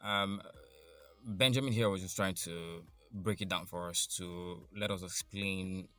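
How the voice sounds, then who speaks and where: Deep-voiced man, rambling. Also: fairly even intonation, measured pace, bright, forceful tone. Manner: rambling, restarts thoughts, thinks aloud. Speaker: podcast co-host. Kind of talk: casual chat.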